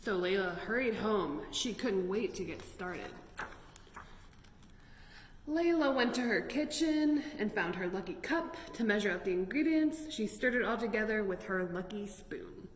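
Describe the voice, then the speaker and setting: A woman's voice: medium pitch, animated, measured pace. A woman hosting a children's storytime, reading picture books aloud expressively and adding chatty, exclaiming asides to the listeners. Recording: echoey, reverberant room with noticeable noise.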